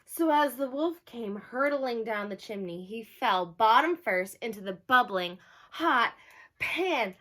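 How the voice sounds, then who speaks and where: A woman storyteller reading a storybook aloud to children, expressive, with asides and questions to her young listeners. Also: a little high, slow.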